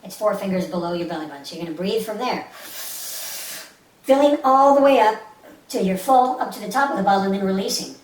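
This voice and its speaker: A woman's voice: mid-range voice, lively intonation, quick pace, long pauses, darkish tone. A woman leading a guided meditation as an instructor, speaking in a soothing, instructive way with short repeated phrases. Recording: roomy, distant mic with faint hiss.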